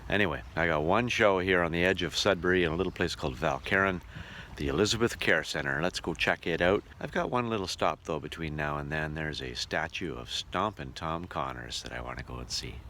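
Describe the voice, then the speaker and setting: Deep-voiced man vlogging on the road. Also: brisk, sharp tone. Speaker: travelling musical entertainer and vlogger. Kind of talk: casual travel vlog narration. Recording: noisy on-location sound, road and ambient noise.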